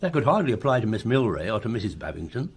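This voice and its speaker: A man acting in a radio mystery drama as a polite, earnest, inquisitive gentleman who helps investigate. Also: lowish voice, sing-song, quick pace, breathy edge, exaggerated voice. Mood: puzzled.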